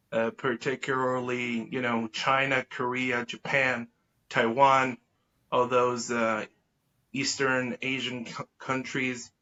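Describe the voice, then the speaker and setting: A man's voice: mid-range voice, sharp tone. A man from Israel whose native language is Hebrew, answering as a podcast guest in a wordy, formal, self-correcting way. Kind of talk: conversational interview answers. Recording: dull lo-fi sound.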